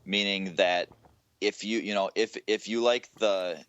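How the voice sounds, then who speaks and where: A man's voice: fairly low pitch, fairly even intonation, measured pace, a bit bright, clear, resonant tone, dry recording, dull audio. A man co-hosting a gaming podcast, talking in a casual, informal and opinionated way. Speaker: podcast co-host.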